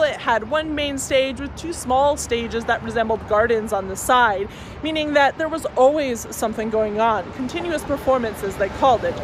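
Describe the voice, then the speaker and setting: A young woman tour guide talks to her phone camera on a city street, chatty and enthusiastic, with little asides. Her voice sits on the higher side. Street noise and passing traffic carry clearly under her. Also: rough recording. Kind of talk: walking-tour presentation to camera. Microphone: phone camera at arm's length.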